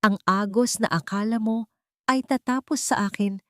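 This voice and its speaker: A woman's voice: a little high, fairly even intonation, normal speed, a bit bright, no echo, clean studio sound, voice pushed up, natural voice. A woman voicing a bitter, defiant wronged wife out for revenge, hard and taunting, in a dramatic audio story. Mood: bitter, accusing.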